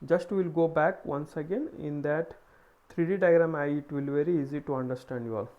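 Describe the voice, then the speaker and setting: A man's voice: a little high, a little fast, warm tone, dull audio. A man with an Indian accent giving a live online engineering lecture, posing questions to himself and repeating phrases as he explains.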